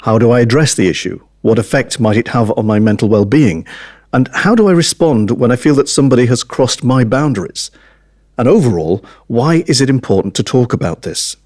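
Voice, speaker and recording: A man hosting a podcast interview, asking measured, somewhat hesitant questions. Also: medium pitch, lively intonation, a little mellow. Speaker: podcast host.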